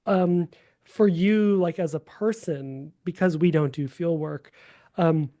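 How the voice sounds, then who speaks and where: Host, gravelly and dark in tone, hesitant and rambling in delivery. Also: mid-range voice, slow pace, no room sound, clean studio sound. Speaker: podcast host. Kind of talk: casual podcast interview.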